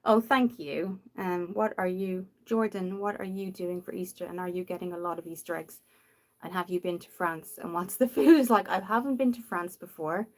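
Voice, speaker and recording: A young woman from Dublin with a softened Irish accent, rambling casually while hosting a makeup livestream and answering viewers. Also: fairly low pitch, normal speed. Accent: Dublin Irish, softened after years in the UK. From Ireland. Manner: chatty and rambling, reads out viewer comments.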